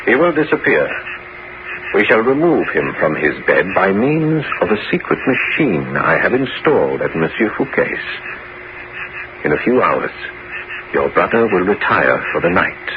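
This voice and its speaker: Deep-voiced, grave old musketeer bishop. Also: male voice, very animated. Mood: conspiratorial, resolute. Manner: theatrical, deliberate, emphatic phrasing. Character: aging bishop and former musketeer, grave, resolute, scheming, noble. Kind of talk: 1970s radio drama acting. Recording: muffled, noisy 1970s radio broadcast recording.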